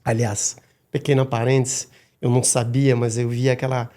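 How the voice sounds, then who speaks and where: A middle-aged man, a guest on a podcast, talking casually and haltingly with many restarts and repeated words. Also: mid-range voice, level tone, mellow. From Madagascar. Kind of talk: casual interview talk.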